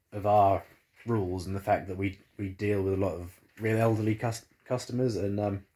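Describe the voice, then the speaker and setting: Man, home vlogger, congested, rambling delivery, low mellow tone, phone-recorded in living room. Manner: rambling, many fillers and restarts. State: congested after flu. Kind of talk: casual chat to camera. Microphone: phone camera.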